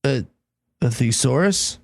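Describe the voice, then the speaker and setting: A man's voice: medium pitch, expressive, slow pace, creaky, dry recording, clean studio sound, voice pushed down, full character voice. A man playing a smug, hesitant bookstore customer who scorns phonies, in a comedy sketch. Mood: eager, impressed.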